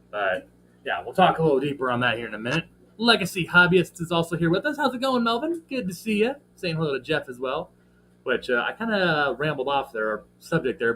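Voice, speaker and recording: Slightly scratchy man chatting on a livestream. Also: fairly high pitch. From American Midwest. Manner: rambling, filler-heavy, friendly shout-outs to chat. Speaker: livestream host. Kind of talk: casual live stream chat.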